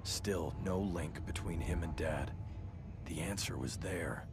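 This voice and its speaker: A man's voice: sits low, slow. An actor playing a hardened, vengeful cop who narrates his case in a terse, sardonic noir style for a video game. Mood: brooding. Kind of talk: hard-boiled noir game narration and cutscene dialogue. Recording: loud music behind the voice. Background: music.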